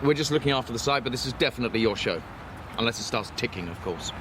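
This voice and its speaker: A man's voice: mid-range voice, expressive, quick pace, noisy background, natural voice. A man acting as a courteous, commanding army captain in an audio drama.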